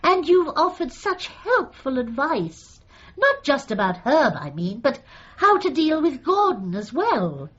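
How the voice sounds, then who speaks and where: An actress playing a meek, earnest dog owner with a hesitant, apologetic manner in a radio comedy drama. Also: female voice, high voice, very animated, a little slow, fairly bright tone, highs cut off, exaggerated voice.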